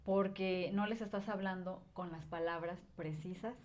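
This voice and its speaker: A woman's voice: on the low side, fairly even intonation, normal speed, darkish tone. A woman, a language educator and podcast guest, explaining at length in casual conversation with frequent filler words.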